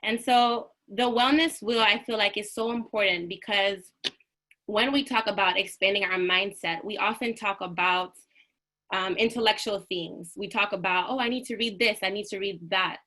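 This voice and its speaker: A woman's voice: medium pitch, fairly even intonation, normal speed, bright, forceful tone. A young woman raised in the United States gives an earnest, reflective prepared talk on a video call, speaking like a lecturer. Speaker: panel speaker.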